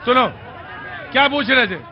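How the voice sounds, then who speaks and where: A man's voice: very high voice, moderate pace, muffled recording, a faint crowd, a little hiss or hum. A man hosting a street debate with the public, interjecting, prodding and repeating what people say. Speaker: TV debate host.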